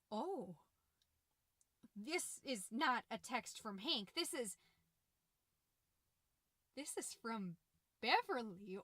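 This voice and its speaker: Casting: actress as fretful, over-cheerful suburban mom podcaster, high bright voice, folksy confiding monologue, anxious underneath. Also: female voice, no echo. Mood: bubbly, excited. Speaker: podcast host.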